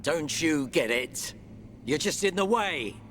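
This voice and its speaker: An actor playing a brash, contemptuous traitor villain in a video game, with a taunting manner. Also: male voice, a little high, animated, slow pace, fairly bright tone, a little hiss or hum. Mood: sneering contempt. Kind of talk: video game cutscene acting.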